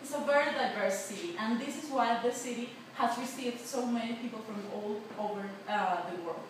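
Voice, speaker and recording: A woman's voice: on the high side, fairly quick. A woman in her twenties from Colombia, a native Spanish speaker with a Colombian accent, giving a chatty class presentation with many fillers and restarts. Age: 20s. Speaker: student presenter. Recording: echoey, noisy room from a distance.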